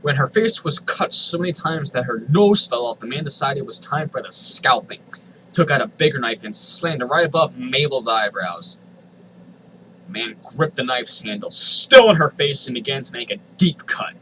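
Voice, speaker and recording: A man's voice: mid-range voice, expressive, moderate pace, pushed and bright, a little hiss or hum, rough recording. A man reading a story aloud in a theatrical, comedic way, with incredulous asides. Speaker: host reading fan fiction aloud.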